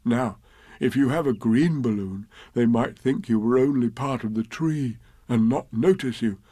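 A man voicing a slow-witted, earnest, honey-loving toy bear in a ponderous, drawn-out, musing way. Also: mid-range voice, mellow, dry recording, natural voice. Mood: earnest, scheming.

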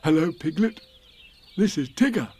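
A man voicing a mild, polite, slightly puzzled toy bear in a children's storybook, with gentle, earnest delivery. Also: a little high, animated, normal speed, husky, voice pushed up.

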